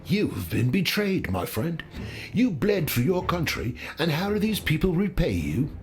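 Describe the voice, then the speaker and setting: A man's voice: fairly high pitch, very animated, measured pace, faint music behind. A man playing a persuasive, cynical conspirator in a video game. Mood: sly, persuasive. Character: scheming gentleman conspirator, smooth, persuasive, cynical.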